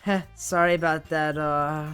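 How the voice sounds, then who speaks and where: A man reads a visual novel aloud in a smooth, clear voice, stopping now and then for chatty asides. He often restarts his sentences and corrects himself. Light music runs faintly underneath. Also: on the high side, steady tone, measured pace, bright, forceful tone. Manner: chatty, self-correcting reader, laughs at himself. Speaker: gamer playing a visual novel. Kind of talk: let's play, reading game text aloud with casual commentary.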